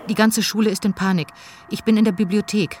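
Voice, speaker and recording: A woman's voice: mid-range voice, steady tone, quick pace, background noise. A woman reading a German voice-over translation of other speakers' words.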